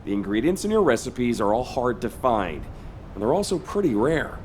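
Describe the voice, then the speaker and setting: An actor playing an eager, chatty soldier pal in a video game, earnest and hopeful. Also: male voice, mid-range voice, sing-song, normal speed, a little mellow, a little hiss or hum, close to an everyday voice.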